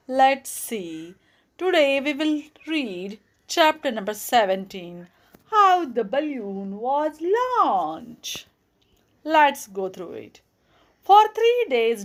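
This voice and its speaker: A woman with an Indian accent narrating a children's storybook as host, in an expressive storytelling manner. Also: high-pitched.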